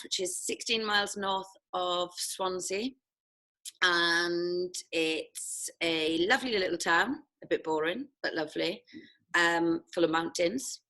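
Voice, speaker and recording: A woman's voice: fairly low pitch, unhurried, bright, forceful tone. A Welsh woman from South Wales, chatting as an interview guest about her school days, laughing often and poking fun at herself. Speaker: head of sixth form, interview guest. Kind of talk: casual interview chat.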